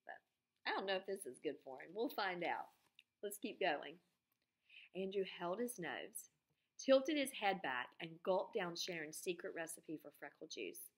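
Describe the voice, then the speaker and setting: A woman teacher reading a children's story aloud expressively, drawing out key words and putting questions to her pupils. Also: lowish voice.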